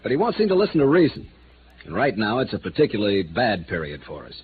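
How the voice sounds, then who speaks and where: Casting: exasperated American company man, lowish voice, rapid and emphatic, thin hissy vintage radio. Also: huge pitch swings, narrow, tinny audio. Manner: insistent, emphatic, businesslike. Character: brisk, worried insurance company man, pressing and exasperated. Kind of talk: 1950s radio drama acting.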